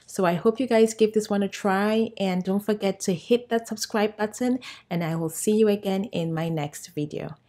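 A woman's voice: medium pitch, moderate pace, few pauses, dark tone. A woman in her thirties from Cameroon, hosting a cooking channel and talking viewers through a recipe in a friendly, upbeat way. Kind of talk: cooking tutorial with voice-over. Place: bright home room with plants.

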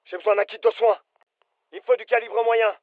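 Man acting a high-pitched, clipped soldier, dull sound. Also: steady tone, fairly quick, no room sound, close to an everyday voice. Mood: businesslike. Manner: clipped, urgent commands. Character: British fireteam soldier, alert, businesslike adult man. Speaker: voice actor, French dub. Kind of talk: military shooter game callouts.

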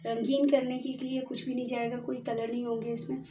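A woman's voice: on the high side, flat delivery, a little fast. Chatty woman cooking in a noisy kitchen. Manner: chatty, explains each step and says "theek hai" often. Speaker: home cooking channel host. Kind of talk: casual cooking demonstration. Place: home kitchen, at a gas stove. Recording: noisy kitchen, echoey and distant.

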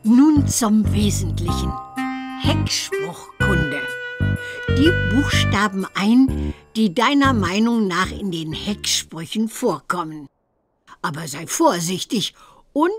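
A woman's voice: medium pitch, sing-song, slow, faint music behind. A woman voicing a stern, schoolmistressy old witch examiner in a children's cartoon game. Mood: stern, instructive.

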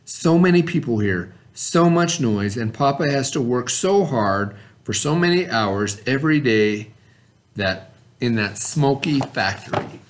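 A middle-aged man with an American accent speaks in a mid-pitched voice. He reads aloud to young pupils like a schoolteacher, stopping to explain and check that they follow. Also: lively intonation, a little slow, slight background noise. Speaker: elementary school teacher. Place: indoor school classroom.

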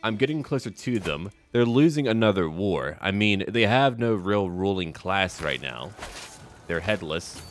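Deep-voiced man commentating a strategy game. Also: animated, measured pace. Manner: musing aloud in short clipped remarks. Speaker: gaming video host.

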